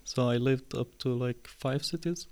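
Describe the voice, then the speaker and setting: A man in his twenties from Syria, answering interview questions in a casual, hesitant way with many pauses and fillers. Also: mid-range voice, darkish tone. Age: 20s. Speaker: podcast guest.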